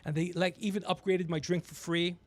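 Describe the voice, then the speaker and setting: A gregarious man hosting a podcast, telling rambling comedic stories with animated, teasing asides. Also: high voice, brisk. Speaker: podcast host. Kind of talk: rambling comedic storytelling on a livestream.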